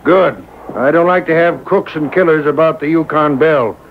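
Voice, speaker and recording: An actor playing a hearty, emphatic riverboat captain in an old radio adventure serial. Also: male voice, normal speed, close to an everyday voice. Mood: hearty, admiring. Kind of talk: 1940s-50s radio adventure serial acting. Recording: tinny, noisy boombox taping of AM radio.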